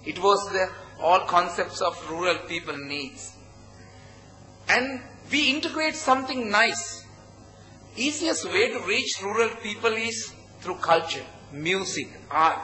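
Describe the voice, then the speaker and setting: A man with a Sri Lankan accent giving an earnest conference presentation in short, halting phrases. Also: high voice, pushed and bright, faint music behind, low-quality recording. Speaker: conference presenter, project founder. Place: conference hall at a hotel.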